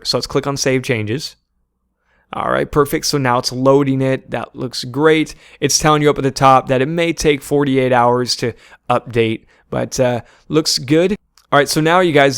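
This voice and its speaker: A man's voice: medium pitch, smooth, dry recording, clean studio sound. A man narrating a screen-share tutorial, talking informally and guiding viewers step by step as the presenter. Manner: informal step-by-step instructing, often addressing viewers as "you guys".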